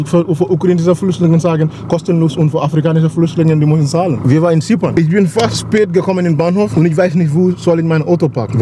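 A Gambian man with a West African accent in non-native German, chatting in a jokey, boastful, rambling way as he hosts a vlog. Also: fairly high pitch. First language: not German. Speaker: vlog host, bodybuilder. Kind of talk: casual, rambling vlog chat. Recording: noisy car and street ambience.

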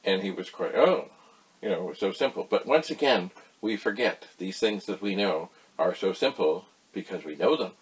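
Older man, low voice, folksy explainer. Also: animated, normal speed, low-quality recording. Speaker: vintage computer video host. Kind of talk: casual explainer talk to camera. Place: small cluttered indoor computer room.

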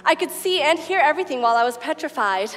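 A woman's voice: high voice, lively intonation, fast, a bit bright. An actress playing a self-assured, gushing teenage witch schoolgirl in a comic stage reading, with emphatic theatrical delivery. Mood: earnest. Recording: reverberant theatre hall, music behind.

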